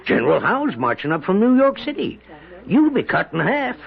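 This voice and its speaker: An actor playing a sly, prosperous American farmer in a radio drama, wheedling and mocking with false heartiness. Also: male voice, sits high, wildly swooping pitch, normal speed, gravelly, full character voice. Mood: sly, persuasive. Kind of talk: old-time American radio drama acting. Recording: dull, band-limited 1970s radio broadcast.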